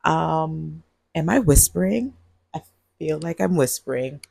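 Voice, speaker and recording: A woman vlogger, groggy on cold medicine, talking to her viewers in a husky voice and rambling with giggles. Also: low voice, expressive, unhurried, dark tone, dry recording, clean studio sound. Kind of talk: casual vlog monologue. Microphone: earbuds mic.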